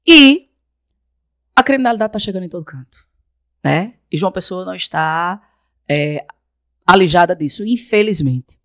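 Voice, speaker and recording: A woman in her forties from Paraíba, Brazil, a guest on a studio podcast, talking emphatically into a desk boom mic and repeating phrases for effect. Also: animated, moderate pace, pauses often, a bit bright, dry recording. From Brazil, raised in Paraíba. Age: 40s. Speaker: guest, council candidate. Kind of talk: podcast interview. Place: small podcast studio with blue-lit backdrop. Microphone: desk mic on boom arm.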